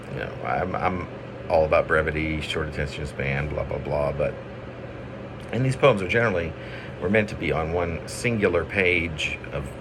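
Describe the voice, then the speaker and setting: A man's voice: low-pitched, noisy background. A man reading his own poems in an informal, rambling way, with self-deprecating asides and playful drama. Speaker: poet reading own work.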